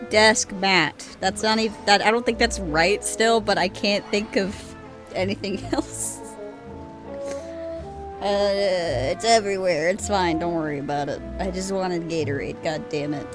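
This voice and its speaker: A young woman streamer chatting casually over her game, swearing often and laughing at her own slip-ups, on a desk mic. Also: medium pitch. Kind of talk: casual gameplay commentary. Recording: game music playing behind.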